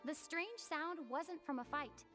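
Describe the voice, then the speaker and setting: A young game heroine with a high voice. She reasons things out with composure and deliberate, measured phrasing over faint music. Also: lively intonation, bright, forceful tone, full character voice. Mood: thoughtful, assured.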